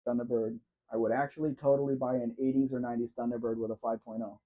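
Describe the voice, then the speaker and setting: A man's voice: medium pitch, level tone, fast, narrow, tinny audio. A man hosting a casual live question-and-answer stream, chatting loosely and answering viewers by name. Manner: chatty, answers chat by name, wanders while talking. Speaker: livestream host.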